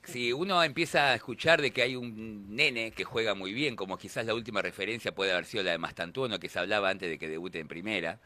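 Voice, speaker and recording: A man's voice: fairly low pitch, quick pace, hardly any pauses, bright, forceful tone. A man in his forties with a Rioplatense Spanish accent, interviewing a footballer with long, rambling questions full of fillers. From Argentina.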